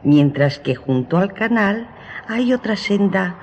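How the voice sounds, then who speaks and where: An actress playing a greedy, sickly, scheming innkeeper's wife in a radio drama, with an insinuating, goading manner. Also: female voice, mid-range voice, expressive, slow pace, a little mellow. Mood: sly, goading. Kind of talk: Spanish-language radio drama acting. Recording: dull lo-fi audio, faint noise.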